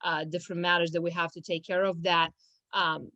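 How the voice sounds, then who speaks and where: A young woman from Romania speaks in a casual interview, her voice sitting on the low side. She hesitates often, restarting phrases and filling gaps with ums. The sound is dry and close. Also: flat delivery, brisk, bright, forceful tone, no echo, muffled recording. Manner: hesitant, many fillers and restarts, laughs easily. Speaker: law firm business manager.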